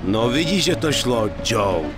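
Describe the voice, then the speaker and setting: A man's voice: mid-range voice, sing-song, loud music behind the voice, lightly acted. A man playing a cool, loyal mafia henchman in a video game, polite but insistent. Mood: insistent, wry. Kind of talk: dubbed video game dialogue.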